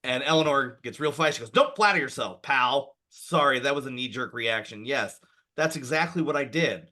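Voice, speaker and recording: Bright-voiced upbeat podcast host. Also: male voice, mid-range voice, expressive, moderate pace. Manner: reads quotes from notes, upbeat. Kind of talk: casual chat.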